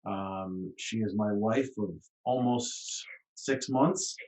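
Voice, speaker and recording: Low-voiced man hosting a podcast, slightly distant. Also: takes it slowly, a little mellow. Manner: supportive, prompting questions. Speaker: podcast host. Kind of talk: casual podcast chat.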